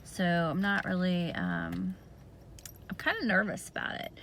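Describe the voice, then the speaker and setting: A woman giving a casual video diary update in a hoarse, raspy voice, hesitant and rambling with lots of fillers. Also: on the low side, a little slow, fairly bright tone, a little hiss or hum. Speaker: vlogger giving a health update.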